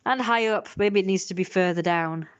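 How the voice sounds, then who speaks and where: A woman's voice: medium pitch, moderate pace, clear, resonant tone, muffled recording. A woman chatting casually on a livestream as she draws, rambling and self-critical. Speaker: streamer and artist drawing. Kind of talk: casual livestream chat while drawing.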